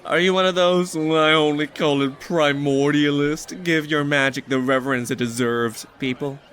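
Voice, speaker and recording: A man's voice: a little high, fairly even intonation, normal speed, fairly bright tone, slight background noise, natural voice. A man playing a distrustful, challenging boy who protects his friends, in an audio drama. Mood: baffled, challenging. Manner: suspicious, challenging.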